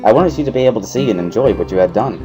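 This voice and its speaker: A man voicing an earnest, brisk teenage schoolgirl with a firm, matter-of-fact manner in a dramatic visual novel reading. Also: fairly low pitch, lively intonation, music in the background, lightly acted. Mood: earnest, insistent.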